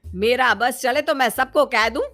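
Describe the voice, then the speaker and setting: A woman's voice: on the high side, normal speed, fairly bright tone, slight background noise. A woman voicing a nagging, exasperated middle-aged mother in a dramatized moral story. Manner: scolding, nagging.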